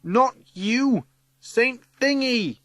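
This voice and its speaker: A man's voice: high voice, moderate pace, dry recording, pitched above the performer's own voice. A man voicing a bold, insistent child with an urgent, commanding manner in a storybook reading. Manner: yelling, urgent.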